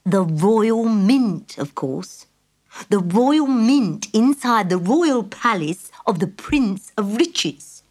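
A woman doing a slow, unflustered talking piggy bank. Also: medium pitch, animated, a little mellow. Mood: impatient. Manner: slow, unflustered, a little cross. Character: calm, matter-of-fact male flying piggy bank.

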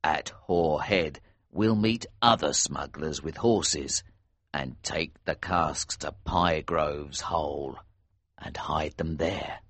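A man voicing a gruff, fatherly older smuggler innkeeper in an audiobook, speaking in a husky, deliberate, hushed way. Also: rumbling low, no room sound, pitched below the performer's own voice, full character voice. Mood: grave, secretive. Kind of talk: audiobook character reading.

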